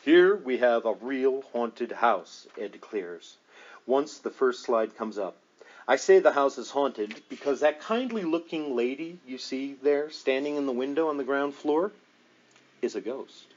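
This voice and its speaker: Guy reading a nonfiction audiobook, average pitch, kinda dull lo-fi recording. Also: animated, unhurried. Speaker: audiobook reader. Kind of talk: scripted narration of a nonfiction book.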